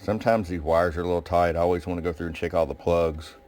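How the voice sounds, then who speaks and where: A man's voice: low voice, steady tone, fast, darkish tone. A man giving a casual, plain-spoken hands-on demonstration as a radio technician.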